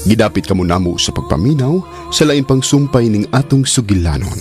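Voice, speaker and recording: A man's voice: lowish voice, lively intonation, a little fast, a little mellow, faint music behind. A man announcing a radio drama from a script in a dramatic manner.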